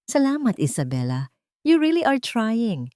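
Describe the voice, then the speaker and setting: A woman's voice: fairly high pitch, huge pitch swings, a little slow, mellow, no room sound, clean studio sound, pitched above the performer's own voice. A woman voicing a troubled, hesitant wealthy man in an audio drama, in an earnest and questioning manner. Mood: grateful.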